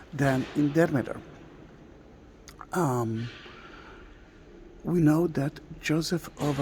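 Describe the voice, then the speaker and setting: A man whose first language is Hebrew, guiding a slow, halting walking tour inside a large stone church, recorded on a camera mic. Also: medium pitch, gravelly, darkish tone, slight background noise. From Israel. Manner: slow, halting, with many fillers. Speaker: tour guide.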